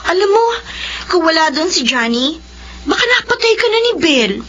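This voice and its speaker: A woman's voice: very high-pitched, sing-song, normal speed, a bit bright, exaggerated voice. An actress playing a young woman's caring best friend, indignant on her behalf in a radio drama. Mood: concerned. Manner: concerned, indignant. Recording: dull lo-fi recording with faint noise.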